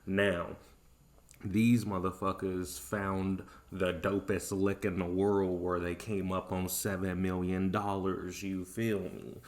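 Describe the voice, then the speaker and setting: Man, online video host, deep voice, monotone, casual slangy profane talk. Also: takes it slowly. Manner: loose, profane, conversational. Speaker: video host introducing a clip. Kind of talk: casual slangy address to viewers.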